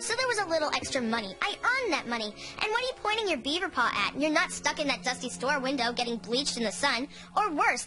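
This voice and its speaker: A woman voicing a stubborn, defensive young girl in a cartoon, sassy and exclamatory with a bratty edge. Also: high-pitched. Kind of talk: animated cartoon voice acting.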